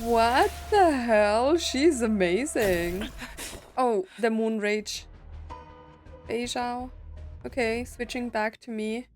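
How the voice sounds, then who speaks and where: A woman's voice: fairly high pitch, slow, darkish tone. A woman gaming streamer giving casual playthrough commentary in brief, reactive asides. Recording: game music behind the voice.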